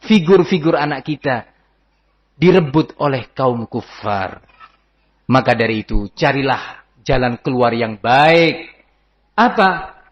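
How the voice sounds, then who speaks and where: Indonesian man, higher-pitched voice, Islamic lecturer, didactic, conversational, rhetorical questions, slightly muffled lo-fi sound. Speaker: Islamic teacher answering a parenting question. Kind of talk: religious lecture, informal question-and-answer. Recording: dull, lo-fi lecture recording.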